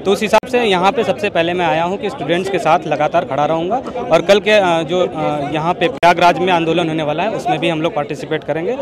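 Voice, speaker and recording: A male teacher with a high voice makes earnest, measured statements at an outdoor protest. A crowd is clearly heard around him. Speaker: coaching-institute teacher. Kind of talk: impromptu street interview.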